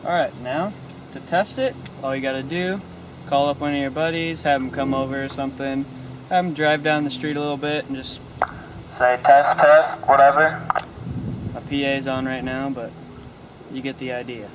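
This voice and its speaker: A man's voice: medium pitch, steady tone, moderate pace, background noise. A young American man giving a casual hands-on how-to in an informal, offhand, loosely phrased way. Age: young adult. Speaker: host of a how-to car video. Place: outdoors in a driveway and inside a parked car.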